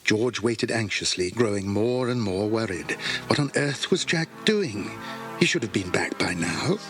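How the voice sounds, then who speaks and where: A man narrating a children's adventure story in a scripted, suspenseful and deliberate way. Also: fairly low pitch, lively intonation. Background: music under the voice.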